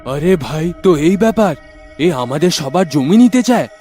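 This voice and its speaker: A man's voice: a little high, expressive, normal speed, mellow, soft background music, natural voice. A man voicing a brave, determined young village man who talks plainly in a cartoon dub. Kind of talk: cartoon dubbing.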